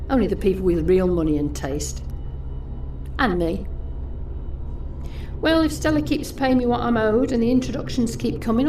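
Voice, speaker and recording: A woman's voice: on the high side, warm tone, slight background noise, natural voice. An actress playing a jaded, snobbish young British woman who confides private thoughts in a confessional, sardonic audio drama monologue. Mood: haughty, sardonic. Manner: confessional, sardonic, muttering asides to herself.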